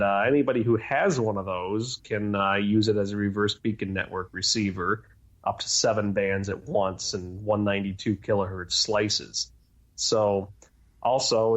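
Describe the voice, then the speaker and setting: A man being interviewed as a guest, explaining things in technical detail. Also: on the low side. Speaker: interview guest, contest organizer. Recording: dull, lo-fi band-limited audio.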